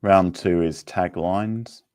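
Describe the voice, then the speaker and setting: A man's voice: deep voice, steady tone, slow, darkish tone, dry recording, studio quality. A man hosting a quiz in a casual, chatty style. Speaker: quiz host.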